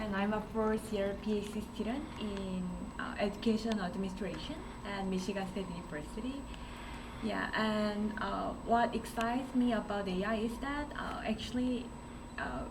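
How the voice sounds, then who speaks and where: A young woman speaking informally to a group, hesitant and searching for her words. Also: mid-range voice. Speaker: PhD student introducing herself. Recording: noisy room, distant mic.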